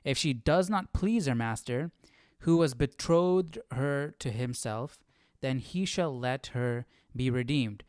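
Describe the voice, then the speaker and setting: A man with a smooth voice, recorded dry and close. He reads aloud informally as a livestream study host, often pausing to question himself and muse over the meaning. His pitch sits in a middle range. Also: darkish tone, no room sound. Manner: musing, hesitant, self-questioning. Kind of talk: informal reading aloud and thinking out loud.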